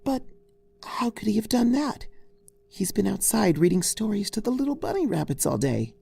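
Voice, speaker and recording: Gentle storybook girl pony, played by a man: high, soft, sweet, trusting, mild-mannered. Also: big pitch swings, moderate pace, creaky, husky, dry recording, full character voice. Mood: gentle concern.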